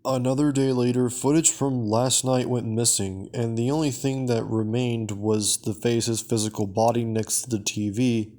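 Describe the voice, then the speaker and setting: A man's voice: mid-range voice, steady tone, normal speed, hardly any pauses, warm tone. A man narrating a recap and review in a casual, semi-scripted style, as the presenter of a video.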